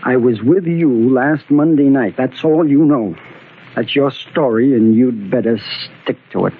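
An actor playing a hot-tempered, possessive young husband in an old radio drama, with tough, clipped talk that swings to tenderness. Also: male voice, medium pitch, unhurried, natural voice. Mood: hard, threatening. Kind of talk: 1940s-50s radio drama acting. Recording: tinny, noisy old radio recording.

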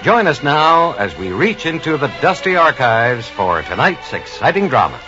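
A man's voice: mid-range voice, sing-song, moderate pace. A man hosting a radio theater show with a theatrical, scripted introduction. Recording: dull radio recording, music behind.

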